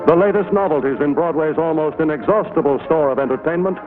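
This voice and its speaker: A man's voice: a little high, fast talker. An American man reading formal, declamatory scripted narration in a 1940s newsreel style, on an old film soundtrack. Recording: tinny 1940s film soundtrack, loud music. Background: music.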